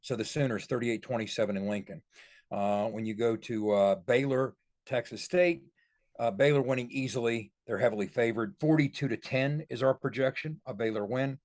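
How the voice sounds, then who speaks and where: A man's voice: medium pitch, normal speed, darkish tone, no room sound. A man giving casual football analysis as a podcast co-host, in a folksy, explanatory way.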